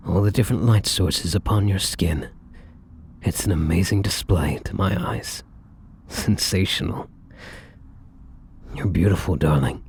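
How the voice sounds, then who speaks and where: A man playing a tender, devoted, battle-weary vampire lover in an intimate ASMR roleplay, speaking softly and breathily with gentle, hesitant, affectionate pauses. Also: sits low, slight background noise. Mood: adoring, tender. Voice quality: soft, breathy, husky.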